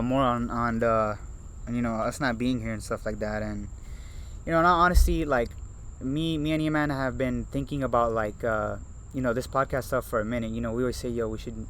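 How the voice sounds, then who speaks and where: A man's voice: mid-range voice, fairly quick, fairly bright tone, a little hiss or hum, rough recording. Hesitant young man, filler-heavy. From Miami. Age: early 20s. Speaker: podcast co-host. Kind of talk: casual podcast chat.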